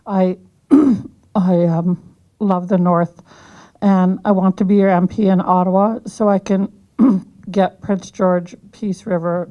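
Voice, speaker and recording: A woman candidate giving a hesitant campaign speech, clearing her throat now and then. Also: lowish voice, highs cut off. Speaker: political candidate. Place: community hall.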